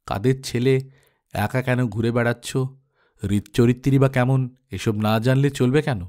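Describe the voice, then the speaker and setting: A man's voice: medium pitch, steady tone, measured pace, a little mellow, lightly acted. A man as a kindly, probing physician. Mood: gently probing. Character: kindly, probing male physician questioning a stray boy.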